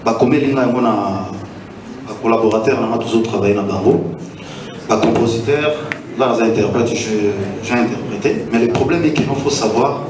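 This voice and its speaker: A man from Congo with a Congolese French accent, a singer answering at a press conference, halting and mixing in Lingala. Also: medium pitch. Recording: echoey hall, far from mic, crowd.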